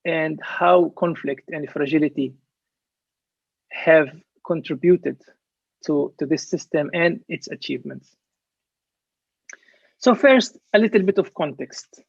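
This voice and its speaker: A young man from Palestine gives an earnest conference talk over a video call, explaining things step by step. His voice sits a little high and carries a gravelly edge. The dull, lo-fi call audio is dry, with no room echo. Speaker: presenter. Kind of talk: online conference presentation.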